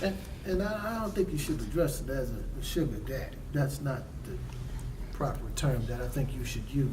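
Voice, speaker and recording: A man playing an evasive, protective middle-aged father, speaking haltingly and formally in a stage drama. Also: medium pitch, far from the mic, lightly acted. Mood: defensive. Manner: hesitant, halting, formal wording. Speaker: actor in stage play. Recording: noisy live stage recording.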